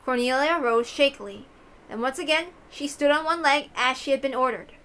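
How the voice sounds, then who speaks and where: A woman's voice: high voice, moderate pace, fairly bright tone. A woman reading a visual novel aloud and adding casual commentary of her own.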